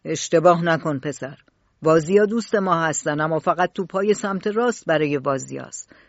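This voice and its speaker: A woman voicing a harsh, gruff grown man who runs a factory, speaking bluntly and loudly. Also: deep voice, no echo, pitched below the performer's own voice. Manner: blunt, scolding, loud. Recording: dull lo-fi dry recording.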